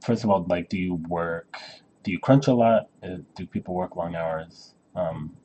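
Man, low-pitched, relaxed dark tone, casual interviewer, hesitant rephrasing, faint background noise. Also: moderate pace, dull audio. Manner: hesitant, rephrasing questions as he goes.

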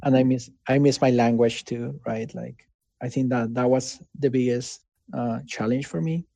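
A man with a Mexican accent and Spanish as his first language, answering casually in an interview in an earnest, halting way, often checking for agreement. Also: mid-range voice, fairly even intonation, gravelly, dark tone, no room sound. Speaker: interviewee, game developer.

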